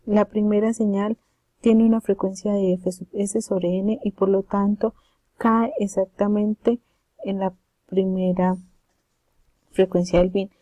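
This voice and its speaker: Flat-toned woman narrating a lesson. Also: medium pitch, no echo. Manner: formal, methodical, reading step by step. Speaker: presenter explaining signal processing.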